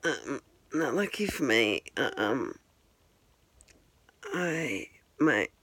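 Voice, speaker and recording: A woman's voice: extremely low pitch, sing-song, drawn-out words, fairly bright tone, slightly rough. A woman, chatting to her phone camera at home in a rambling, self-deprecating way. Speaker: vlogger. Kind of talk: casual talk to camera. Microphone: handheld phone.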